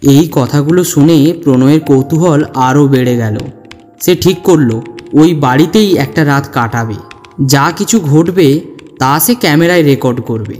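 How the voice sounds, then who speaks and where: A man's voice: on the high side, a little slow, darkish tone. A man reading a scripted ghost story as narrator, with dramatic, suspenseful delivery. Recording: long hall reverb, music underneath. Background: music.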